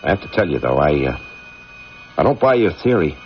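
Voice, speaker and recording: A man playing a haunted, insistent middle-aged astronaut commander in a radio drama, with a gravelly voice on an old radio recording. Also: very deep voice, big pitch swings, a little fast, slight background noise, heavily acted. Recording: dull 1980s radio recording, music underneath.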